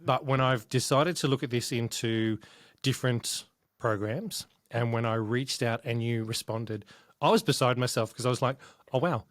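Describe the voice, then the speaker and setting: A man's voice: mid-range voice, moderate pace, no echo, clean studio sound. A man hosting a podcast interview, talking enthusiastically in long rambling turns. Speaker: podcast host.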